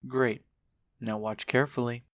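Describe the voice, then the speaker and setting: A man's voice: medium pitch, little pitch movement, unhurried, dry recording, natural voice. A man playing a sly, laid-back skeleton hustler with a casual, unbothered way of talking in a fan audio drama.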